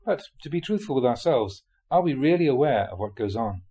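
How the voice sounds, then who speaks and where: A male reader delivers a spiritual teaching in an explanatory, measured manner. His mid-pitched voice has a husky grain and moves expressively up and down as he sets out questions and explanations. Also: lively intonation, dark tone. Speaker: monk reading dharma talks aloud. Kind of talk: reading a spiritual talk aloud.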